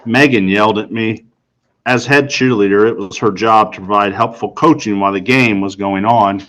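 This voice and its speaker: A man's voice: fairly low pitch, steady tone, moderate pace, fairly bright tone. A man narrating a first-person audiobook story in a formal, precisely worded manner. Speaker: audiobook reader.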